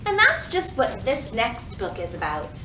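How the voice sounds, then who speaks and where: High-pitched woman reading slowly for children. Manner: animated, careful enunciation for young children. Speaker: children's librarian hosting a virtual storytime. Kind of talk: children's storytime reading and teaching. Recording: roomy echo, faint background noise.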